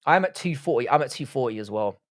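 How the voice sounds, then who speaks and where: A man's voice: mid-range voice, lively intonation, fast. Laid-back man hosting a live stream. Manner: laid-back, swears freely, banters with chat. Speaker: livestream host reading chat. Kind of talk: casual livestream chat.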